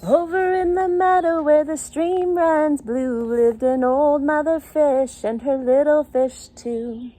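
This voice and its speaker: A woman's voice: high-pitched, steady tone, slow pace, few pauses, dark tone. A woman reading a picture book to young children in a sing-song style, with simple child-directed phrasing and little questions. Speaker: children's circle time teacher and story reader.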